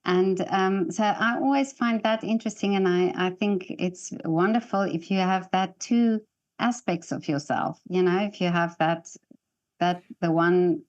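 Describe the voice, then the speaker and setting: A woman's voice: fairly low pitch, unhurried, a little mellow, highs cut off. A woman hosting an interview over a video call, enthusiastic and encouraging toward her guest. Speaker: interview host.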